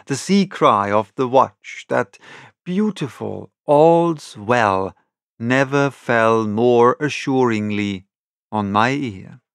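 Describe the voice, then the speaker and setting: A man narrates a classic audiobook at a slow, deliberate pace. His mid-pitched voice has some creak in it, and he tells the story in a measured, dramatic way. The sound is close and dry. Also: lively intonation, a bit bright, dry recording. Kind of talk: scripted literary narration in the first person.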